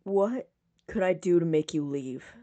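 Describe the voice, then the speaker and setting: A woman voicing an earnest, protective young man in an audiobook, soft and intent in manner. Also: fairly low pitch, animated, measured pace, no room sound. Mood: hesitant, probing.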